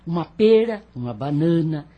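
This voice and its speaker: An actress playing a devoted, fussing housemaid with rustic, servile speech in a radio soap. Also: female voice, low voice, lively intonation, normal speed, slightly rough, muffled recording. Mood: solicitous.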